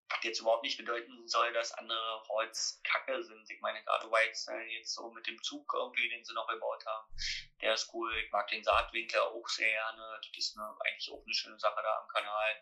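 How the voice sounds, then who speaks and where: Laid-back man, gravelly and sharp, flat delivery, rambling guest. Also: fairly low pitch, low-quality recording. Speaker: guest artist. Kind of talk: casual interview. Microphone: phone call.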